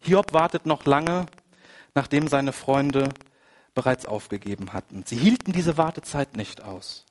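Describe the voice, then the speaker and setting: A man with a cold preaching a sermon, conversational and full of asides, moving between quiet, reflective passages and emphatic, rising ones. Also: mid-range voice, measured pace. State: has a cold. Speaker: pastor. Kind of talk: sermon with personal anecdote.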